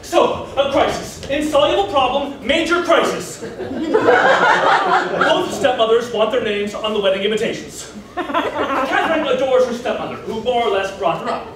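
A man's voice: very high voice, expressive, measured pace, a crowd in the background. A man playing a frazzled, hard-done-by groom in a theatrical stage monologue, exasperated, melodramatic and emphatic. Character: frazzled, hard-done-by groom ranting about his wedding. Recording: echoey room, distant mic, faint noise.

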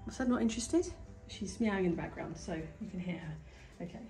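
A woman's voice: medium pitch, lively intonation, brisk, mellow, faint music behind. A woman giving a casual DIY tutorial at home, thinking aloud as she works on a staircase. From United Kingdom. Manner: chatty, thinking aloud while working. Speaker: DIY home renovation vlogger. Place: indoor hallway and staircase at home. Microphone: handheld phone or camera filming her hands.

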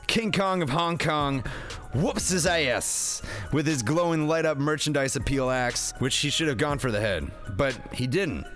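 A man's voice: on the high side, animated, a little slow, fairly bright tone, soft background music. A man narrating a comedic film review as host, with a jokey, theatrical, slangy delivery.

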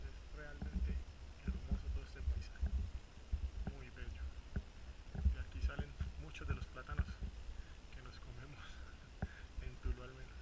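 A man's voice: medium pitch, a little slow, long pauses. Colombian man narrating a mountain hike. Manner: calm, admiring tour-guide commentary. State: walking on a trail. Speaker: hiker filming his walk. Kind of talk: casual guided narration. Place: outdoors on a mountain trail. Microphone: action camera held at arm's length. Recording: distant voice under loud wind noise.

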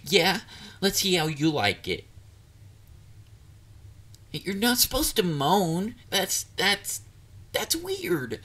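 A man playing a shy, clingy, excitable young catboy boyfriend in an intimate romantic audio roleplay, hesitant and easily flustered. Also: high-pitched, slow, pauses often, breathy edge, full character voice. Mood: flustered, teasing. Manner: hesitant, vulnerable, flustered, with stammers.

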